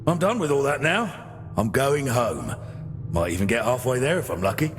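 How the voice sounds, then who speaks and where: An actor playing a wry, world-weary runaway scout in a video game, resigned and guarded yet tender. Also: male voice, mid-range voice, big pitch swings, normal speed, a little hiss or hum. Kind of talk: naturalistic video game voice acting. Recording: long hall reverb over music.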